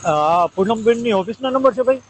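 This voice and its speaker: Man, Saurashtra Gujarati accent, high voice, pleading, insistent, repetitive, phone call petition. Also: expressive, brisk. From Gujarat, India. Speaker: caller seeking help.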